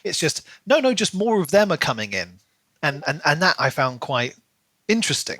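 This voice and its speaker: A man co-hosting a podcast, casually discussing a book in an animated way and putting on mocking imagined quotes. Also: fairly high pitch, moderate pace, dry recording. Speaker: podcast co-host.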